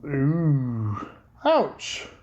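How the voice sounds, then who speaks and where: A man's voice: mid-range voice, wildly swooping pitch, extremely slow, darkish tone, lo-fi sound. An American man playing a tabletop game, reacting casually with theatrical exclamations and repeated phrases. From United States. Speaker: player in an actual-play podcast.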